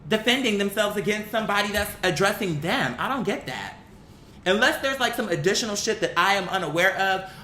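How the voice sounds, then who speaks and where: A young adult commentator giving a blunt, exasperated opinion rant in casual, sweary language, repeating phrases for emphasis. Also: pushed and bright. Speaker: online commentator. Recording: echoey room with faint rumbling noise.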